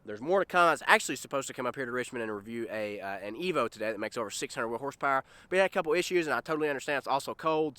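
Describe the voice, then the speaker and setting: A young man with a drawl talks fast and brightly into a camera at arm's length. He is a bit congested and rambles with excitement as he hosts his car vlog outdoors. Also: mid-range voice, clear, resonant tone, no room sound. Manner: excited, rambling, addresses viewers as guys. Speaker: car vlogger host. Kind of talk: casual car review vlog. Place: inside a car, then outdoors in a parking lot. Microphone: handheld camera at arm's length.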